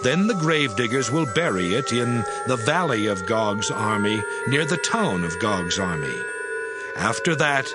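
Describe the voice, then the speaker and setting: The Lord God in a scripture audio drama. The voice is stern and solemn, declaimed in measured phrases with wide swings in pitch. Prominent music plays underneath. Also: male voice, big pitch swings. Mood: solemn, commanding. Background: music behind the voice.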